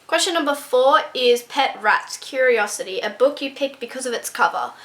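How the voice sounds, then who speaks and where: A woman's voice: high-pitched, expressive, moderate pace, a bit bright. A young woman book vlogger chatting casually to camera, with lots of ums and likes.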